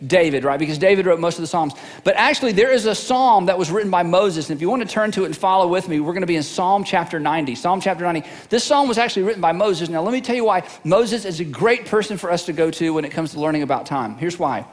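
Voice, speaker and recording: A man's voice: high voice, expressive, fast, hardly any pauses, long reverb tail. A middle-aged male pastor preaching a sermon in a casual, humorous way, often repeating words as he goes.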